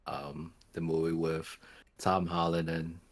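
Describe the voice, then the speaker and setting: A man's voice: deep voice, level tone, slow pace. A man who is sick, co-hosting a podcast in giggly, casual chat. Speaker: podcast co-host.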